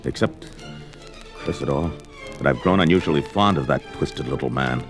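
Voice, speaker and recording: An actor playing a gruff, domineering barbarian hero, bold and commanding, declaiming in archaic 1970s fantasy audio drama style. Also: male voice, very deep voice, expressive, normal speed. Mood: grudging resolve. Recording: music behind the voice.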